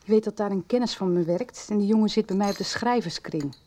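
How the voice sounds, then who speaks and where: Actress as mellow-voiced confiding young woman. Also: mid-range voice, a little hiss or hum, lightly acted. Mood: confiding, earnest. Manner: confidential, deliberate. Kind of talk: naturalistic radio soap dialogue.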